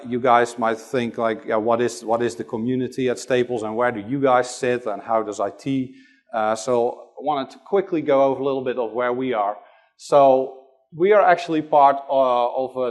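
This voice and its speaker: A middle-aged man from the Netherlands with a Dutch accent, giving a conference talk and hesitating often with fillers. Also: medium pitch. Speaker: conference presenter.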